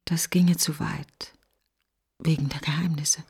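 An actress playing a bitter, grieving woman in a literary radio play monologue, speaking in clipped, intense fragments with a breathy husky voice. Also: deep voice, steady tone, slow pace, darkish tone, heavily acted. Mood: bitter, hurt. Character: bitter, grieving woman reflecting on her dead best friend.

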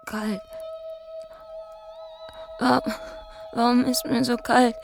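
An actress playing a confused, frightened woman waking in a strange place in a radio drama, halting and repeating words. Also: a little high, flat delivery, vocal fry, exaggerated voice. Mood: dazed, frightened. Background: music.

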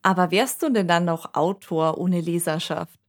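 A woman speaking in a measured, analytical way as co-host of a radio discussion. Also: on the low side.

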